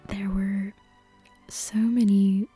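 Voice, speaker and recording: A woman whispering softly and breathily into a close microphone as an ASMR host. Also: medium pitch, flat delivery, extremely slow, slightly rough, faint music behind. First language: non-native English speaker. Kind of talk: whispered ASMR bedtime reading and casual chat.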